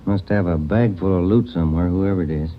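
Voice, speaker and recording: Man playing understated American detective partner, lowish voice. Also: a little fast, natural voice. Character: easygoing, plain-spoken male police detective partner. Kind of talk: 1940s radio police drama acting. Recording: dull, noisy 1940s radio recording.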